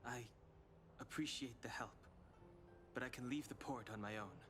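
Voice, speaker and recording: A man playing a reserved, cool young traveler in a video game, quiet, terse and polite. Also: medium pitch, moderate pace, natural voice. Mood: guarded. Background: game music.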